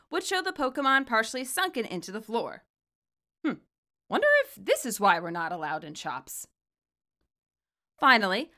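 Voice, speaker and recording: Woman, higher voice, very lively intonation, bright tone, wry scripted storytelling. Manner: expressive, wry. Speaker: fan fiction author and narrator.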